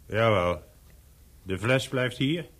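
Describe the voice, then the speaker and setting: A man's voice: medium pitch, lively intonation, takes it slowly, a bit bright. An actor playing a deferential male hotel servant in a radio play, subdued and obedient.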